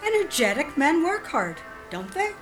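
A woman's voice: sits high, big pitch swings, normal speed, faint music behind. A woman playing a polite, guarded middle-aged friend with something to hide, speaking hesitantly in an audio drama. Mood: guarded, wistful. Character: polite, guarded woman hiding an affair from her old friend.

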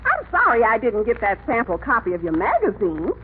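A woman's voice: fairly high pitch, huge pitch swings, a little fast. A courteous, hesitant housewife in a vintage radio comedy. Her voice moves up and down expressively. The recording is narrow and slightly noisy, like an old broadcast. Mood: polite reluctance. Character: polite, hesitant housewife weighing a sales pitch. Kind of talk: 1950s radio comedy acting.

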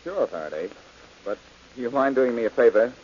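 An actor playing a wisecracking tough detective, dry and sardonic, in 1940s radio acting. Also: male voice, medium pitch, lively intonation, fairly quick, darkish tone, close to an everyday voice. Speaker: detective hero. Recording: dull old radio recording with hiss.

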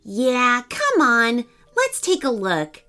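Woman doing a polite little boy, gentle, slowish, music faint behind. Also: fairly high pitch, huge pitch swings, full character voice. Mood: curious. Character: young boy, curious, kind and careful.

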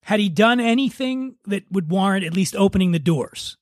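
A man's voice: high voice, animated, normal speed, no room sound, studio quality. A man hosting a casual comedy podcast, chatting with a joking, teasing manner. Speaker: podcast host.

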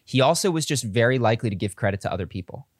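A man co-hosting a podcast, telling a story in casual chat with an enthusiastic, quick way of talking. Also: medium pitch, expressive, no room sound, studio quality. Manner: enthusiastic storytelling, quick asides, laughs easily. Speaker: podcast co-host.

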